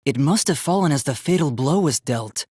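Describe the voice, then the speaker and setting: A man's voice: on the high side, animated, moderate pace, mellow, husky, no echo, studio quality. A man voicing an earnest, polite young robot student in a video game, with formal, precise, logical speech that gets easily flustered.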